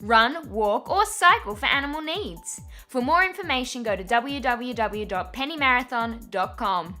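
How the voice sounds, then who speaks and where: A woman's voice: fairly high pitch, animated, normal speed, pushed and bright, soft background music. A woman presenting an events roundup with upbeat, promotional announcements. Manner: chirpy, promotional.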